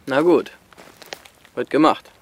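An actor playing a curt, pushy smuggler instructing youngsters in a radio drama. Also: male voice, mid-range voice, lively intonation, slow pace, slight background noise. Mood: curt agreement. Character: gruff adult male smuggler, curt and pushy with children. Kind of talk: German radio drama acting.

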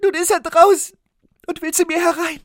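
A man voicing a spoiled young princess in a fairy tale, in a high falsetto. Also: steady tone, moderate pace, no echo, clean studio sound. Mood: frightened.

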